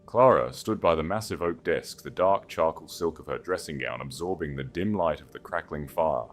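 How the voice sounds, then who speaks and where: A man's voice: extremely low pitch, moderate pace, faint music behind. A man narrating a scripted story as a dramatic audiobook reader.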